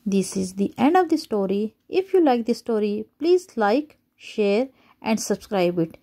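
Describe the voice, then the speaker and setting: A woman reading a children's picture book aloud as a storyteller, deliberate and a little halting in places. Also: mid-range voice, expressive, dark tone.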